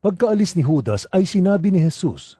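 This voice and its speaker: Higher-pitched mellow male narrator. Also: sing-song, a little fast. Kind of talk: scripted scripture narration for audio drama.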